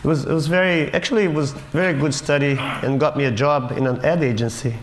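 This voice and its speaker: A man's voice: on the high side, steady tone. Wry man in a roomy hall. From Brazil. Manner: wry, self-mocking, halting with restarts. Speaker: conference speaker. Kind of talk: humorous storytelling talk. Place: conference auditorium stage. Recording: roomy hall with faint noise.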